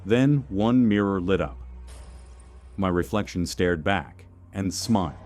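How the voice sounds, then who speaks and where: A man with a very low voice narrates a scary first-person tale. He delivers it in measured, clipped phrases that hold the suspense. Soft music plays underneath. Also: lively intonation. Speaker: horror story narrator.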